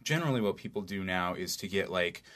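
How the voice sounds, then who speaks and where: A man giving informal interview answers as an audio engineer, haltingly thinking aloud as he explains. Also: low voice, animated, fairly bright tone.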